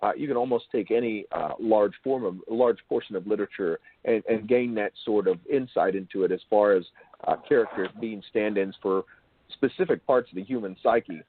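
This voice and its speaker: A man hosting an interview, asking long, wordy, bookish questions in a halting way. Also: fairly low pitch, husky. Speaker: interviewer and show host. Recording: thin phone-like call audio.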